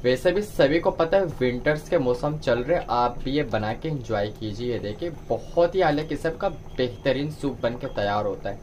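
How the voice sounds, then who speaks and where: A man's voice: mid-range voice, expressive, normal speed, few pauses, a little mellow, a little hiss or hum. A man giving a step-by-step cooking tutorial as a home cook, instructing viewers directly. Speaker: home cook presenting a recipe.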